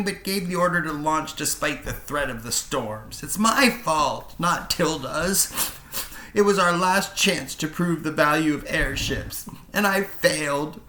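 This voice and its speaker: Man putting on an excitable young balloon pilot. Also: fairly high pitch, a little slow, echoey room, a little hiss or hum, voice pushed up. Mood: wailing. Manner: theatrical, wailing, grandly enthusiastic.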